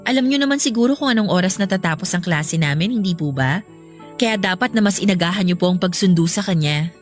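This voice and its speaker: A woman's voice: lowish voice, animated, fast, faint music behind, close to an everyday voice. A woman playing an earnest, guarded young schoolteacher in a radio drama, speaking with polite restraint. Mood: cold, formal.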